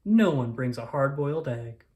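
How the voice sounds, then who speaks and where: A storyteller reading a children's book aloud in an expressive, deliberate way, drawing out words. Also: medium pitch. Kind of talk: storybook read-aloud, first-person child narrator.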